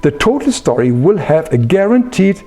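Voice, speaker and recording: A man giving an explanatory spiritual talk in an earnest, emphatic manner with measured phrasing. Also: on the high side, very animated, mellow, husky, soft background music. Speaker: spiritual teacher.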